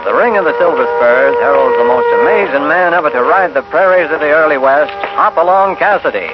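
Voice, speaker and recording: A man's voice: fairly high pitch, brisk. A man narrating a 1950s radio western as its announcer, in a dramatic, emphatic scripted style. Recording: dull vintage radio broadcast with music. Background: music.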